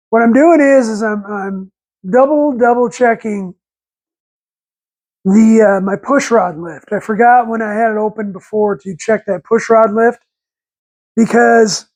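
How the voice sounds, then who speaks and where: A raspy man in his forties with a head cold, rambling folksy and correcting himself while talking through engine work in a garage on a lapel mic. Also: very high voice, darkish tone. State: congested with a cold. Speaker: channel host. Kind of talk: casual workshop vlog. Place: home garage workshop, indoors.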